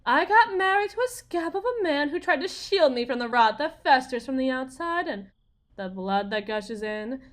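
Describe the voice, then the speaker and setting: A woman's voice: high-pitched, animated, normal speed. A woman playing a bitter, desperate young Southern US wife, confiding in a folksy, theatrical way in an audio roleplay monologue. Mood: bitter, weary.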